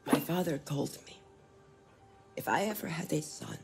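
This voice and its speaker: An actress playing a gentle, protective farm wife and mother, speaking in careful, formal phrases in a dramatic video game. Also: sits low, normal speed, gravelly, music in the background. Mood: tender, hushed.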